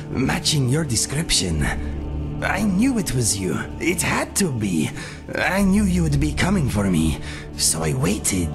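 An actor playing a guilt-ridden cat-man mercenary in a fantasy game, speaking gravely and formally. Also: fairly high pitch, full character voice. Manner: formal, grave, avoids contractions. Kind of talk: fantasy game character dialogue.

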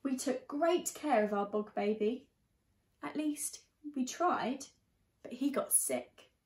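High-voiced young woman reading in an echoey room. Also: slow pace. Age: young adult. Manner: expressive, addressing young children. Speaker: teacher reading a picture book to children. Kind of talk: storybook read-aloud.

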